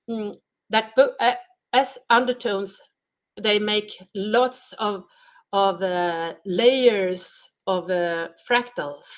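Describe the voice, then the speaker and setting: Brief: woman sound healer, interview guest, unhurried delivery, halting speech. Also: medium pitch. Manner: slow, halting, searching for words. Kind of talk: conversational interview answers.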